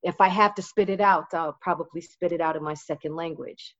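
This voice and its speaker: A woman's voice: fairly low pitch, steady tone, fairly quick. Earnest woman teacher, dull audio. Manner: earnest, emphatic, reflective. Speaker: Spanish teacher. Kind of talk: conversational interview.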